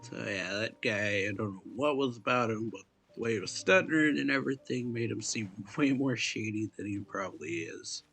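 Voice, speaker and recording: A man's voice: lowish voice, expressive, moderate pace, vocal fry, hardly any pauses, fairly bright tone, husky. Casual man commentating over game music. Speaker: gaming video host. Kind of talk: casual let's-play reaction commentary.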